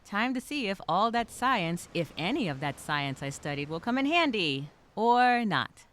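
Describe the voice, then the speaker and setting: A woman playing a scared but determined castaway, speaking her survival journal as a halting, expressive dramatic monologue. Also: medium pitch. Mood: hopeful, resolute.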